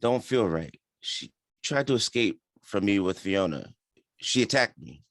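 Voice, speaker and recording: A man's voice: lowish voice, expressive, unhurried, no echo. A man playing a tough, blunt henchman with a terse manner in a screenplay table read. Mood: suspicious.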